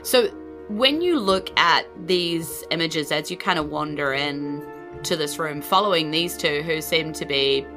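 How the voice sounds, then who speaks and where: A woman's voice: deep voice, unhurried, over music. A woman with a New Zealand accent improvising descriptive narration as game master of a tabletop game, in a conversational way. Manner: conversational, descriptive, frequent "you know" asides.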